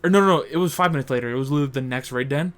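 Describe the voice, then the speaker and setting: A man's voice: mid-range voice, racing, soft background music. A young man co-hosting a podcast in casual chat, rambling and crude, often correcting himself mid-sentence. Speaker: podcast co-host.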